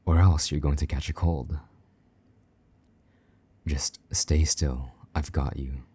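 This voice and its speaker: A jealous vampire knight, played by a man murmurs slowly in a very deep, dark voice. His tone is husky and slightly gravelly, sliding into soft whispers as he teases and comforts. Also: steady tone, heavily acted. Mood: tender. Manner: low murmuring with soft whispers, teasing and gruff. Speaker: voice actor in audio roleplay. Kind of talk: intimate audio roleplay.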